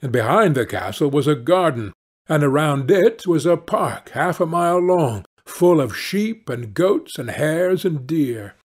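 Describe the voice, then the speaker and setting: A man narrating a fairy-tale audiobook in a measured, expressive storytelling manner. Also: a little high, slightly rough.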